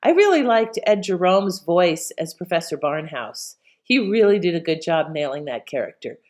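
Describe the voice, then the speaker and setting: A woman's voice: lowish voice, sing-song, normal speed, a little mellow. A woman host giving casual, conversational commentary with an appreciative manner.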